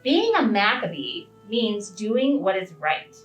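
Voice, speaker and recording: A woman's voice: medium pitch, sing-song, takes it slowly. A woman reading aloud to young children in a teacherly, questioning way. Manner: teacherly, asks simple questions. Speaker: preschool educator reading to children. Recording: distant, roomy, slightly dull, faint music.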